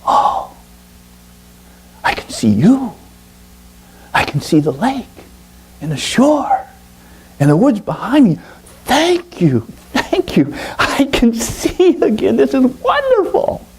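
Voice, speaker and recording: A man voicing a young blind boy in a spoken story, high and breathy with wonder. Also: steady tone, takes it slowly, pauses often, warm tone, heavily acted. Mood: awed.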